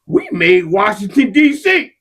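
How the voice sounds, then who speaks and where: Impassioned husky man with a high voice. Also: sing-song, a little slow. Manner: impassioned, profane, rising to shouts. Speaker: podcast co-host. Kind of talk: heated casual discussion.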